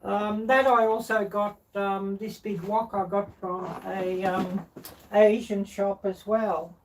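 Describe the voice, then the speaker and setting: A middle-aged woman chatting casually and unscripted as a live-stream cooking host, halting and rambling as she searches for words. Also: medium pitch, level tone, darkish tone. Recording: distant mic, clear background noise.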